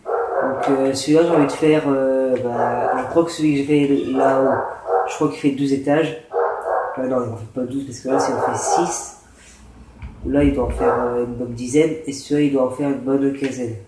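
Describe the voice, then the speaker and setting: A man's voice: medium pitch, fairly even intonation, normal speed. A man with a cold and a sore throat, hosting a casual gameplay tutorial, explaining in a rambling, hesitant way. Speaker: gaming channel host. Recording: roomy echo with faint noise.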